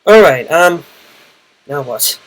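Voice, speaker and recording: A young Australian man with a naturally loud voice, casually narrating his own Pokemon battle, thinking aloud with fillers. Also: fairly high pitch, expressive, slow, poor audio. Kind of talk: casual play-by-play gaming commentary.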